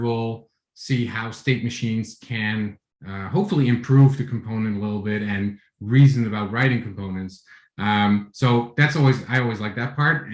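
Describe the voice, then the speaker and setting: A man's voice: medium pitch, echoey room. Dutch-accented man giving an online talk. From Netherlands. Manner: relaxed, many fillers and restarts. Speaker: conference speaker. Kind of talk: online tech talk with screen share. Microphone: video call.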